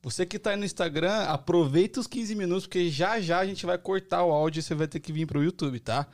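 A man's voice: high voice, fast. Playful man opening a live podcast. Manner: playful, teasing, hypes the audience. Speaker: podcast co-host. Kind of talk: casual live podcast chat.

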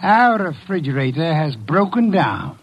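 An actor playing an imperious, brilliant older private detective, gruffly dismissive and precise in his diction, in 1950s radio drama style. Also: a little high, sing-song, highs cut off, full character voice. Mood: commanding, assured. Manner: pompous, precise, deliberate diction.

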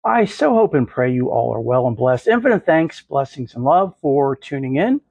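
A man hosts a faith podcast with a gravelly, husky voice, stuffed up and nasal from the flu. He talks in an earnest, effusive way and now and then jokes with himself. The sound is close and dry. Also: mid-range voice, sing-song, normal speed, a little mellow, no room sound, muffled recording. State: congested from the flu. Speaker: podcast host. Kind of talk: faith podcast chat and reading aloud.

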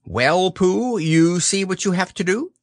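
A man's voice: high-pitched, dry recording, studio quality, voice pushed up. A man voicing a bossy, fussy male rabbit who eagerly urges others along. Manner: brisk, eager, urging others on.

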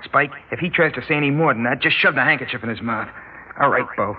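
A man's voice: mid-range voice, fast, lightly acted. A man playing a cocky, cruel young gang leader in an old-time radio drama, taunting and bossy in slangy talk. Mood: bossy, menacing. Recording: tinny, noisy vintage radio recording.

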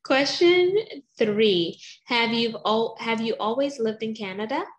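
A woman's voice: fairly high pitch, expressive, a little slow. A woman hosting a podcast interview in a bubbly, playful, sing-song manner. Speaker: podcast host.

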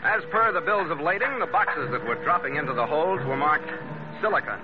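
An actor playing a tough ship's skipper, clipped and hard-boiled, in 1940s radio drama style. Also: male voice, fairly high pitch, expressive, a little fast, natural voice. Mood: hard-boiled narrating. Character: tough, terse male ketch skipper narrating his log. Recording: dull vintage radio recording with music.

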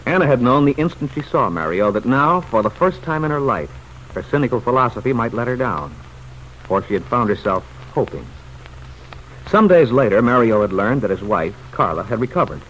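A man's voice: mid-range voice, sing-song, a little fast, fairly bright tone, rough recording. A man delivering dramatic scripted narration for an old-time radio story. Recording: old radio recording with faint noise.

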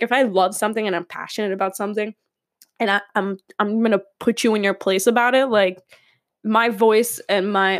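A young woman from California talks through her podcast in a loose, rambling way, full of fillers and quick laughs. Her voice sits at an ordinary middle pitch. The recording is dry and close, with no room echo. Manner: chatty, rambling, laughs often, many fillers. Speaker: podcast host. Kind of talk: casual solo podcast chat. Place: room at home with windows open.

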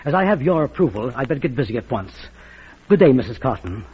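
An actor playing a deferential, formal government official in an old-time radio drama, with a very husky voice. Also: male voice, medium pitch, very animated, fast talker. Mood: formal, urgent. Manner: formal, businesslike. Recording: muffled vintage radio recording.

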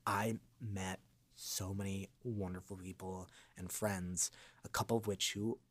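A man in his twenties chatting reflectively as an interview guest, earnest and halting as he gathers his thoughts. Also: low voice, level tone. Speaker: voice actor, podcast guest. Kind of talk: casual reflective interview chat.

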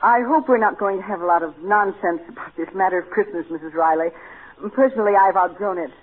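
A snobbish, world-weary rich boy with a high voice, acted by a man. He speaks pompously and formally, in old radio-comedy style. The sound is tinny and narrow-band. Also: quick pace, slight background noise, close to an everyday voice. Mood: disdainful. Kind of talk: 1940s radio comedy acting.

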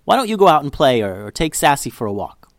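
A man voicing a kind, gently encouraging mother in a children's storybook. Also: fairly high pitch, big pitch swings, quick pace, no echo, studio quality. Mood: bright, encouraging.

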